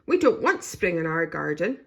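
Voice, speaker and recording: A woman voicing an excitable, stubborn little girl rabbit in a children's storybook, with emphatic exclamations. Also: lowish voice, normal speed, creaky. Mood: cross, defiant.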